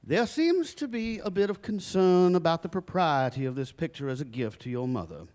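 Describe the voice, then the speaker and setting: A man's voice: on the high side, big pitch swings, normal speed, darkish tone. An actor playing a flustered, dignified father in a live stage drama, with a formal, pompous, hesitant manner. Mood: pompous, reasoning. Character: flustered, dignified father improvising answers for his children. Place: recreation center stage, live performance.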